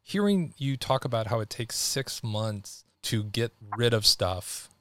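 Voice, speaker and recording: Male podcast host, 40s, fairly low voice, animated storyteller, dry close sound. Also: measured pace, no room sound. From United States. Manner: animated, quotes people in lively voices. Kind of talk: casual podcast chat.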